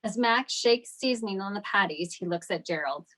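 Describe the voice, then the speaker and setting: A woman's voice: medium pitch, expressive, moderate pace, a bit bright. A woman narrating scripted stage directions at a table read.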